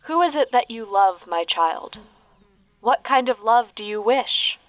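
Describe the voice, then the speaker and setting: A woman voicing the earth, a wise and motherly presence who speaks calmly and slowly. The tone is soft and nurturing. It is a storybook figure read aloud. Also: fairly high pitch, lo-fi sound. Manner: slow, calm and nurturing.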